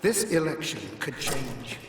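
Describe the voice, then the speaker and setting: A man's voice: mid-range voice, very animated, a little slow, noisy background. An actor playing an aging Roman veteran, a grave but fond father who speaks with solemn deliberation in a game cutscene. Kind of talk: cinematic game drama acting.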